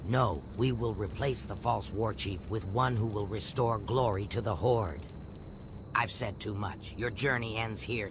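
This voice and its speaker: Contemptuous orc cult plotter, male actor, fantasy game villain: deep, rough and creaky, over music. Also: background noise, natural voice. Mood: menacing.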